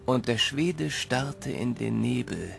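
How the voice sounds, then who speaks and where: An actor playing a grown man wistfully recalling his orphan boyhood in a measured, reflective radio drama narration. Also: mid-range voice, lively intonation, vocal fry, fairly bright tone, faint music behind, heavily acted.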